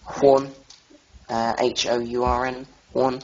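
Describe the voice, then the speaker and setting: A young man with a cold giving an informal lore talk as a tour guide, halting and full of fillers. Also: medium pitch, flat delivery, a little hiss or hum. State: has a cold. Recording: dull lo-fi voice chat audio.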